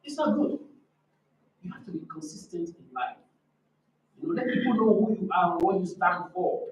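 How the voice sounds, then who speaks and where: High-voiced man lecturing in an echoey room. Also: animated, moderate pace, slight background noise. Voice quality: loud, naturally forceful voice. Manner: emphatic and didactic, repeats key phrases. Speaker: conference speaker on leadership. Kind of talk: motivational conference lecture with rhetorical questions. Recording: echoey room, distant microphone.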